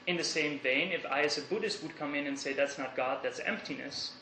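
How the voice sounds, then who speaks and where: A man's voice: a little high, bright, forceful tone, slight background noise. Dutch man lecturing in an echoey hall. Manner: thoughtful, measured, with hesitations and restarts. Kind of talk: academic lecture.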